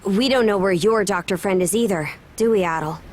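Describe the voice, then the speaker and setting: An actress plays a stern young woman in command of a seafaring crew in a video game. Her pitch is average for a woman. She speaks bluntly and with composure, practical and firm. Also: fairly quick, a little mellow, natural voice. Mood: matter-of-fact. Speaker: voice actor. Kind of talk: video game cutscene acting.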